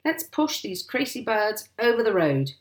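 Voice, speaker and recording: A woman's voice: lowish voice, lively intonation, normal speed, fairly bright tone, echoey room. A pompous country police sergeant from a storybook, acted out by a woman. He speaks ponderously and officially, putting stray aitches on his words and mangling his grammar. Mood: hearty, urging. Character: pompous, stern male village police sergeant.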